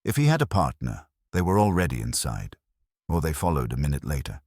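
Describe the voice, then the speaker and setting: A confident male private eye's assistant, acted out by a male reader, with a very deep voice. He talks in wry, punchy lines with lively pitch in a detective audiobook. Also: brisk, no room sound, clean studio sound, full character voice. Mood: wry, brisk.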